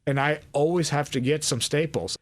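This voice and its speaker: A man's voice: on the high side, moderate pace. A young man from Sweden, a podcast guest, talking casually with many restarts and fillers. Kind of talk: casual interview chat.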